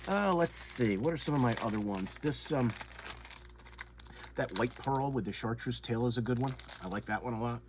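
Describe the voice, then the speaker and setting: Man, stuffy nasal voice, head cold, casual rambling host, mid pitch, crinkly plastic bags, background noise. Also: lively intonation, normal speed. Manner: rambling, repeats himself, many ums and restarts. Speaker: fishing channel host. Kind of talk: casual show-and-tell chat. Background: crinkling soft-plastic bait bags.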